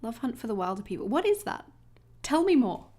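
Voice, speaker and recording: A woman from northern New South Wales with an Australian accent, chatting casually and quickly with livestream viewers while she crafts, often giggling. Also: a little high, sing-song, dark tone. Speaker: journaling livestream host.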